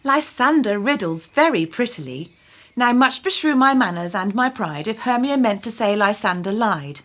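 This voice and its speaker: A woman acts a modest, affectionate young lady in a Shakespeare radio play. Her bright voice sits fairly high and moves animatedly, gentle yet firm. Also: animated, normal speed.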